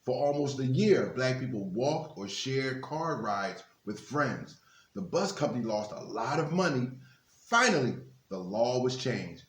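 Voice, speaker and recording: A man's voice: mid-range voice, expressive, unhurried. A man reading a children's book aloud, breaking off for informal, encouraging asides to his young listeners. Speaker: guest reader at a children's book reading for a basketball team's literacy program. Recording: echoey, reverberant room.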